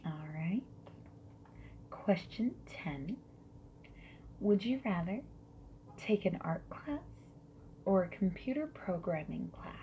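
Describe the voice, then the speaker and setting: A woman doing a soft-spoken ASMR roleplay as a friendly survey interviewer, slipping between whispers and quiet speech. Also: lowish voice, animated. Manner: friendly, gentle, unhurried questioning with soft acknowledgements. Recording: lo-fi muffled sound with background noise.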